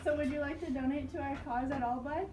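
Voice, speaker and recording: Cheeky freeloading puppet, played by a woman; high register, slangy, recorded at a distance. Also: steady tone, quick pace, dark tone, close to an everyday voice. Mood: cheerful, wheedling. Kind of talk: amateur school puppet show. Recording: echoey room, distant mic, background noise.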